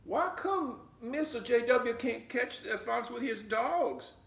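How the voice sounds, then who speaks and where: A man puts on a skeptical little girl from a children's storybook. The voice is pitched well up and swings freely. It is plain-spoken and unfazed, heard at a distance from the mic. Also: expressive, slow pace, gravelly, a bit bright, full character voice. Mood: eager, curious. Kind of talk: children's storybook read-aloud. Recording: roomy echo, distant mic.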